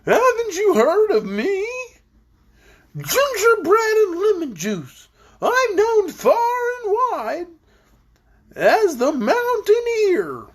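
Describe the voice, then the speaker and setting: A woman voicing an eccentric, hospitable man from a storybook, with a wobbly, shaking voice and drawn-out exclamations. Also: very high-pitched, huge pitch swings, voice pushed up, heavily acted. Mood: astonished. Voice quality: wobbly, shaky, produced by shaking the head. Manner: drawn-out, exclamatory, self-important.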